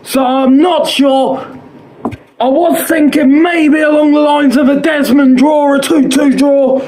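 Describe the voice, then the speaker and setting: A man with a hoarse, fading voice hosts his own show, predicting football scores in a chatty, repetitive way and filling pauses with "uh". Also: very high voice, steady tone, a little mellow, a little hiss or hum, rough recording. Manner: chatty, quotes odds, repeats himself, frequent "uh".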